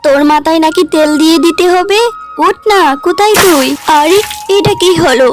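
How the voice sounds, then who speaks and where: Woman acting a high-voiced kind sister-in-law. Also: normal speed, music in the background. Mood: caring, worried. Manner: gentle, considerate. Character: kind, forgiving, selfless elder sister-in-law. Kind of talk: cartoon dialogue.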